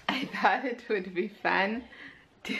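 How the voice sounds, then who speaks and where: Slow, hesitant woman vlogging. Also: medium pitch, a bit bright. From Israel. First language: Hebrew. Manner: slow, hesitant, many pauses and fillers. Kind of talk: casual personal chat to camera. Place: at home with her baby. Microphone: handheld compact camera.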